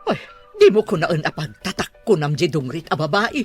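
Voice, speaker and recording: Snobby rich mom in radio soap, husky, dramatic swoops, faint music behind. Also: female voice, low voice, sing-song, moderate pace, full character voice. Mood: haughty, indignant. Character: snobbish wealthy mother, haughty and indignant. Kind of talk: Ilocano radio drama acting.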